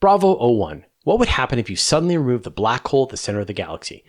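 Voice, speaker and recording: A middle-aged man talks as the host of a question-and-answer show. His voice sits at an ordinary male pitch. He explains things conversationally, leaning on key words and posing questions as he goes. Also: big pitch swings, racing.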